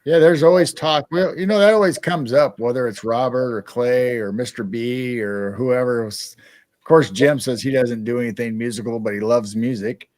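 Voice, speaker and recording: A man's voice: medium pitch, moderate pace, a little mellow, no echo, studio quality. A man co-hosting a casual live-stream chat, rambling with frequent restarts. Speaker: co-host.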